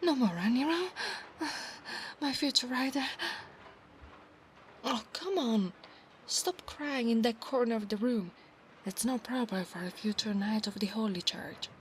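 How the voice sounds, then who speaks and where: A haughty, insistent centaur warrior maiden, acted by a woman in an ASMR roleplay. She speaks formally and commandingly at an unhurried pace. There is noticeable noise in the recording. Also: on the high side, lively intonation. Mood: urgent, insistent. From Italy. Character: proud, insistent female centaur knight of a holy order.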